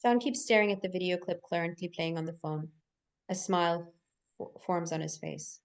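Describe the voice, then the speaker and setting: A woman's voice: fairly low pitch, steady tone, normal speed. A woman hosting a table read and narrating its screenplay directions. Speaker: stage-direction narrator and host. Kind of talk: scripted narration.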